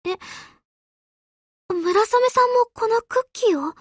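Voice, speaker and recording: A courteous anime game girl with a very high voice. She speaks gently and slowly, with no room echo. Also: studio quality, heavily acted. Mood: grateful. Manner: polite, gentle. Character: demure, courteous young warship girl. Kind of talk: anime game character voice acting.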